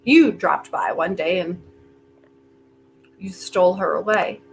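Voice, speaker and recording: A woman's voice: lowish voice, slow pace, vocal fry, slight background noise, low-quality recording. A woman in a staged play reading, playing an arrogant, defiant inventor with a sardonic, theatrical manner. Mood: regretful, bitter. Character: arrogant, brilliant, defiant inventor bargaining with Death.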